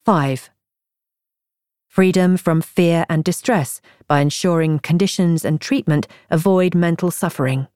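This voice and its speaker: Low-pitched woman narrating an audiobook. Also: a little mellow, clean tone, dry recording, studio quality. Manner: measured, articulate reading. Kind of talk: scripted nonfiction audiobook narration.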